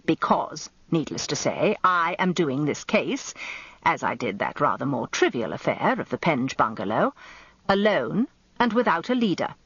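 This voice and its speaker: An actor playing a pompous, grumbling old barrister in a radio drama, British, with a grandiloquent and plaintive way of talking. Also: male voice, fairly high pitch, very animated, normal speed, fairly bright tone, breathy edge, no echo, dull audio, exaggerated voice. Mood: boastful. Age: older. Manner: grandiloquent, plaintive, self-important.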